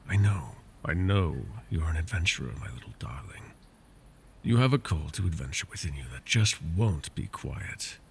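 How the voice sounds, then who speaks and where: A man voicing a possessive, scheming male dragon in an ASMR roleplay, teasing and drifting into soft whispers. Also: expressive, moderate pace, slight background noise, exaggerated voice. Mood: coaxing. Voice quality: gravelly, low.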